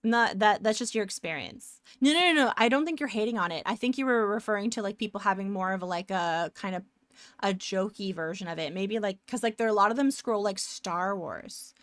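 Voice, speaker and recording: A woman's voice: mid-range voice, brisk, a bit bright, no room sound. Chatty young Canadian woman streamer. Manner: chatty, rambling, upbeat. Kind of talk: casual chat with viewers.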